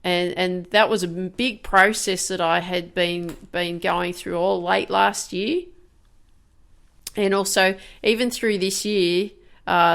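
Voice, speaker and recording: A woman in her fifties with a slightly low voice, talking her way through a candid, reflective podcast monologue. Her tone is smooth and resonant, with a gravelly edge, and she often stops and restarts mid-thought. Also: fairly bright tone. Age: 50s. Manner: candid, halting, many restarts and fillers. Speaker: podcast host.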